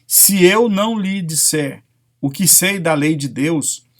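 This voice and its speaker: A man's voice: a little high, takes it slowly. A man with a Brazilian accent reading a spiritual self-help lecture aloud as a narrator.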